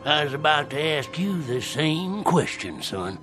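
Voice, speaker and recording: A man's voice: fairly high pitch, sing-song, music in the background. An actor playing an unruffled, folksy old townsman in a radio drama, wry, with a Southern US accent. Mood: calm, wry. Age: older. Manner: unhurried, wry.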